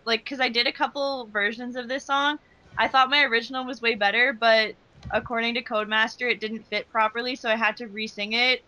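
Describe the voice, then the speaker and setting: A woman's voice: a little high, sharp tone, rough recording. A woman chatting casually in a self-deprecating manner. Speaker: voice actor and editor on a fandub. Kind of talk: casual chat.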